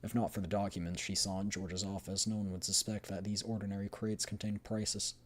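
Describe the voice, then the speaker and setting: A man's voice: lowish voice, level tone, fairly quick. A man streaming a game, reading the story aloud and chatting casually as he thinks aloud. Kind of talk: game readthrough with casual commentary.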